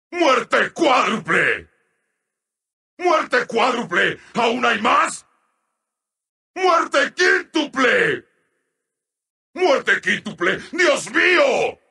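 An actor playing an exalted sun scarab god as a theatrical, grandiose video game announcer. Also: male voice, very high-pitched, creaky, bright, forceful tone, exaggerated voice. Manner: theatrical, grandiose, drawn-out exclamations. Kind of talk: video game announcer pack, exclamatory callouts.

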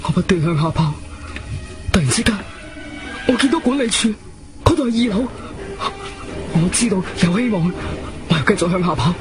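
An actor playing a frightened, despairing young man trapped and near panic, halting and repeating himself in a Cantonese radio drama. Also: high-pitched, lively intonation, over music. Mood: desperate.

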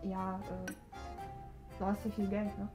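A woman, improvising a comic role-play in a halting way and repeating her words. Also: medium pitch, level tone. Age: 20s. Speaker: performer in an improvised date sketch. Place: indoor studio set at a dining table. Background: clear background music.

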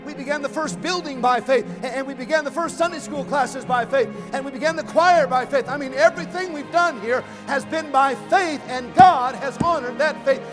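An earnest pastor speaks in a high, light register and looks back with steady conviction. A bed of music plays clearly beneath his voice. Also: normal speed, hardly any pauses. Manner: earnest, reflective. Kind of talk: documentary interview recollection. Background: soft background music.

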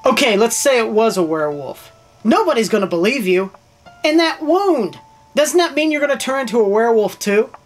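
An actor in an amateur film playing a young man who camps with his brother, joking and then calming his brother down. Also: extremely high pitch, moderate pace, soft background music. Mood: reassuring.

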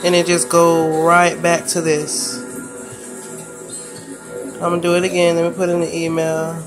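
Woman, monotone home recording, fed-up help request, music behind. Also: fairly low pitch, measured pace. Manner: flat, frustrated help request. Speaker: vlogger asking for help. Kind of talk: casual phone screen recording. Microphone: phone camera filming a handheld screen.

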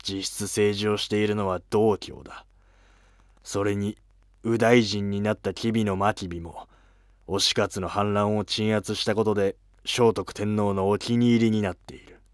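A man voicing a weary, resentful senior court minister in an animated historical drama, with lofty, curt, archaic speech. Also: on the low side, unhurried, no room sound, studio quality, close to an everyday voice. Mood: bitter, suspicious.

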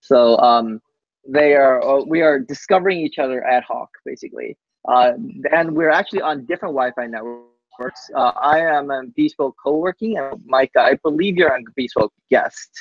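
A man giving a casual live software demo on a team call, speaking haltingly and often tacking "basically" onto sentences. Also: medium pitch, no echo. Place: coworking space, on a group video call. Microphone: video call microphone. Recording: muffled video call audio.

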